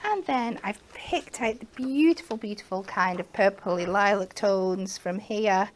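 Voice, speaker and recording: A woman with a croaky voice, chatting and joking as she demonstrates card making on a craft shopping show. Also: medium pitch, a little slow, a little hiss or hum. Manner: chatty, playful asides while working. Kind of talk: live shopping channel craft demo.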